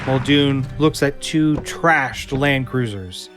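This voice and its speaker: A man narrating a scripted drama in a deliberate, descriptive way. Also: medium pitch, over music.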